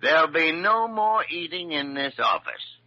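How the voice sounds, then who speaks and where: An actor in an old radio drama playing a crotchety, blustering senior doctor, on a muffled vintage recording. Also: male voice, fairly high pitch, very animated, measured pace, noisy background. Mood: exasperated. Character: crusty, grumbling senior doctor, gruffly fond of his staff.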